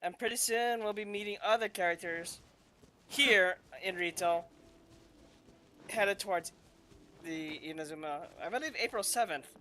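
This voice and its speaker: A man's voice: sits high, a little slow, long pauses, pushed and bright, slight background noise. A man giving casual, improvised commentary on a video game playthrough, a little hesitant. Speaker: gamer, host of a playthrough. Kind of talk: casual gameplay commentary, reading choices aloud. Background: faint game music.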